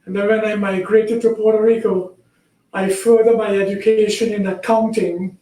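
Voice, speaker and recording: A man, answering interview questions politely and in a measured way. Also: sits high, flat delivery, dark tone, echoey room, low-quality recording. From Trinidad. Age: 80s. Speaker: guest, recovered patient.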